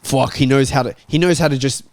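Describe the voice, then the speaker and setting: A man's voice: mid-range voice, steady tone, fast talker, darkish tone. A man debating football casually and bluntly as a talk show panelist, given to swearing.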